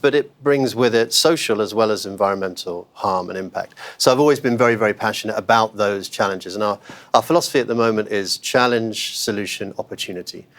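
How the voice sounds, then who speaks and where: A man's voice: on the low side, fairly quick, fairly bright tone. A man speaking as a guest on a podcast interview, talking conversationally with many fillers and self-corrections. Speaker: interview guest, investment manager.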